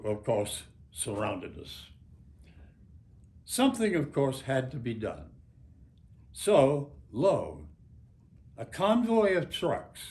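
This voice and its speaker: Really old american guy, 90s, author reading his memoir out loud, super slow and deliberate, dramatic pauses. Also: mid-range voice. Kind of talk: reading own memoir aloud, literary narration.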